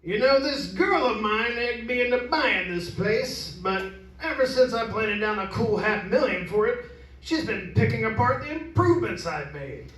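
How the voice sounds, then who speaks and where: A man's voice: sits high, lively intonation, normal speed, fairly bright tone. An actor playing a blunt, bossy, wealthy middle-aged father in an amateur old-time radio drama. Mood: brash, impatient. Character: blunt, wealthy, brash father who bought an old house. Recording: echoey room, distant microphone.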